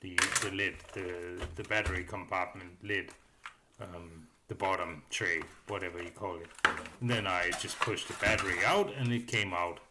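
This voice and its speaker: A man's voice: sits low, steady tone, moderate pace, noisy background. A man giving a casual voice-over review as a hobbyist, rambling along hesitantly and often repeating words.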